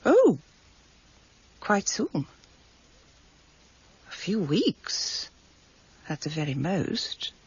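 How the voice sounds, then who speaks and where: A woman's voice: lowish voice, wildly swooping pitch, extremely slow, pauses often, a little mellow, dull audio, a little hiss or hum, heavily acted. An actress in a radio drama playing a chatty, genteel, gossipy woman who owns a bookshop. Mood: confiding, amused. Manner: gossipy, fussy, digressive. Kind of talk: 1990s radio drama acting.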